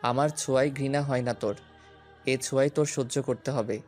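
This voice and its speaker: A man's voice: medium pitch, steady tone, brisk, a little mellow, close to an everyday voice. A man acting a brash, possessive young man. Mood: menacing. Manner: taunting, stubborn, commanding. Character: brash, possessive young man, often drunk, teasing and menacing. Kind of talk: Bengali romantic audio story acting. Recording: music bed behind the voice.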